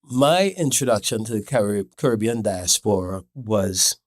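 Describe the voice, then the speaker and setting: A man from Trinidad, a podcast guest, telling stories in a relaxed, casual way with filler words. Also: lowish voice, a little slow, dry recording, studio quality. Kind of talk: casual interview chat.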